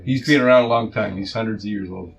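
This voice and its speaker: A man's voice: lowish voice, quick pace, slight background noise. A man running a tabletop game, casually asking his players about their characters. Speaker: game master. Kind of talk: casual session-zero chat.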